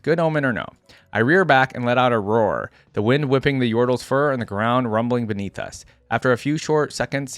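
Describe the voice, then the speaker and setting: A proud, confident hunter, read aloud by a man, tells of his hunt in the jungle like a storybook tale. The delivery is measured and solemn, at a middling pitch, in a close, dry recording. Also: no echo, natural voice. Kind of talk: first-person storybook reading.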